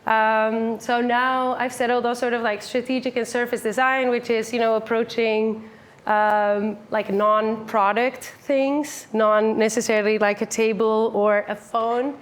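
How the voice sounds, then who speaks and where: Woman, twenties, raised in the Netherlands; upbeat casual presenter, bright higher register, live room. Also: level tone, measured pace. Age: 20s. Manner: upbeat, chatty, many fillers like "like" and "um". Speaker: meetup speaker. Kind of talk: casual conference talk. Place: full room with a live audience.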